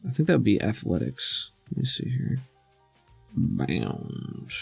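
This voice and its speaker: A man's voice: on the low side, wildly swooping pitch, takes it slowly, gravelly, breathy edge, no room sound, faint music behind. A man working as game master, narrating and explaining a tabletop game in a casual, conversational way.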